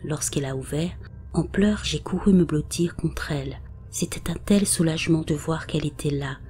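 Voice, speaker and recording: A woman reading scripted paranormal stories as a narrator, in a soft, half-whispered delivery. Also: low-pitched, moderate pace. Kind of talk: scripted narration read aloud. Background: faint background music.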